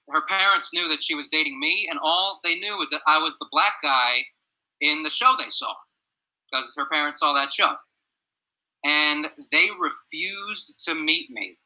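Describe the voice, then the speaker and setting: A young male teacher speaks slowly and thoughtfully to his students over a video call, pausing often and asking what they think. His voice is slightly raised in pitch and very bright, with a gruff edge. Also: poor audio. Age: young adult. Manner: earnest, reflective, asks students questions. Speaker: middle school teacher. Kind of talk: classroom discussion on a video call, personal story.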